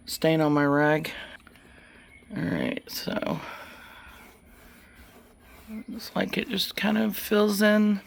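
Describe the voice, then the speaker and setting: A woman's voice: lowish voice, creaky. A woman with a Southern US accent talks casually and folksily as she demonstrates a hands-on DIY job, filmed on a handheld camera. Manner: folksy, unhurried, murmuring along while working. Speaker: DIY vlogger.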